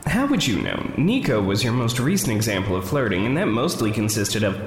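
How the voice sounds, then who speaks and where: A man acting out an anxious, doubting inner voice in an audio drama. It echoes in long reverb over clear noise, and the pitch jumps around. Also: very animated, a little fast. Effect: heavy reverb. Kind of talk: fiction podcast acting. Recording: noisy, echoing reverberant effect.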